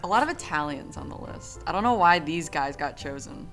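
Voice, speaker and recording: Low-pitched woman chatting over music. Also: lively intonation, brisk, a bit bright. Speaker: voice cast member. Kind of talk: casual group chat.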